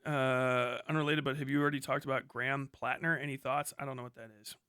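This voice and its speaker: A man's voice: medium pitch, level tone, fast talker, pushed and bright, smooth, no echo, clean studio sound. A man livestreaming casual, opinionated commentary on local news, speaking in a blunt, profane and emphatic manner.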